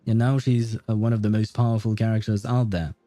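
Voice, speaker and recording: A man's voice: lowish voice, flat delivery, brisk, mellow, no echo, soft background music. A man giving casual, chatty and opinionated commentary as he livestreams a game.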